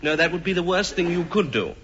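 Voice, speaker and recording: An actor playing an earnest, pompous doctor with formal, deliberate phrasing in a 1950s radio comedy. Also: male voice, high-pitched, lively intonation. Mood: grave, professorial. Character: earnest, pompous male doctor with a childish streak. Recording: noisy, dull old radio recording with clicks.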